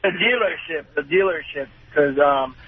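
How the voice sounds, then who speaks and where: A man's voice: a little high, animated, moderate pace, slightly rough, dry recording. A man calling in to a radio show, chatting hesitantly with stammers and unsure questions. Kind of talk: hesitant casual phone chat. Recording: tinny, noisy phone line.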